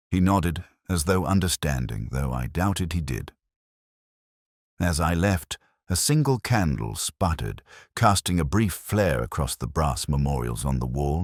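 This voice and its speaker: A man performing a weary detective narrating a literary radio drama. The voice is extremely low and rises and falls expressively, with a solemn, reflective delivery. The recording is close and dry. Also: dry recording, heavily acted.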